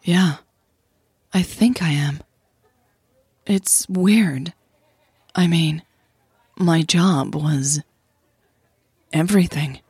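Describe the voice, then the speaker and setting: A woman's voice: low voice, extremely slow, pauses often, darkish tone, husky, no room sound, studio quality, full character voice. A woman playing a thoughtful, candid young woman in a romantic audio drama, speaking in a hesitant, sincere way. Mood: hesitant, reflective.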